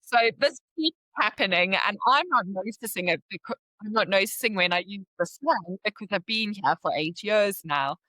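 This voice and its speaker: Bright-voiced South African woman, chatty. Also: medium pitch, lively intonation, moderate pace, few pauses, no room sound, rough recording. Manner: chatty, rambling, many "like" fillers. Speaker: podcast guest. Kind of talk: casual interview chat.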